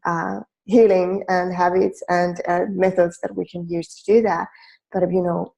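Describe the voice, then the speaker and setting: A woman in her forties speaks English with a Finnish accent, leading a self-help training. Her voice is low, mellow and gravelly. She is earnest and keeps restarting her sentences with small fillers. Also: low-quality recording. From Finland. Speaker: life coach.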